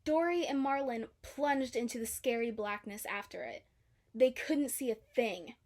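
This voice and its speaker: A woman with a fairly high voice reads a children's story aloud. Her delivery is scripted storybook narration. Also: unhurried, darkish tone. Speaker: storybook reader.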